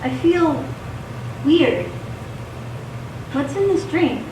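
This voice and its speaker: A woman's voice: high-pitched, expressive, drawn-out words, exaggerated voice. A woman acting an anxious, trusting corporate whistleblower in a live radio play. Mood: uneasy, dismayed. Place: theatre stage. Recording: echoey stage, distant mic, background noise.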